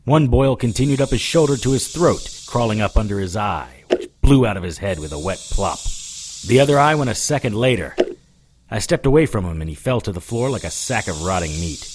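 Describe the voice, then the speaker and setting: A man's voice: fairly low pitch, expressive, a little fast, noisy background, lightly acted. A man playing a wry, hard-boiled hero, narrating a scripted audio drama in the first person with dry sarcasm. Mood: grim. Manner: dry, sardonic. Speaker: narrator and lead character.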